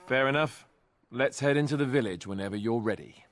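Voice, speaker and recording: A man's voice: medium pitch, fairly quick, natural voice. Earnest, dryly sarcastic young male warrior played by an actor. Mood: earnest, deferring. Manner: dry sarcasm, plain-spoken. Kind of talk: fantasy video game dialogue.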